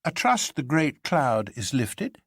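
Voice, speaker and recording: A man playing a quick, candid gentleman in a storybook, speaking directly with dry politeness. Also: mid-range voice, big pitch swings, gravelly, breathy edge, no echo, pitched above the performer's own voice, heavily acted. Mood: wry.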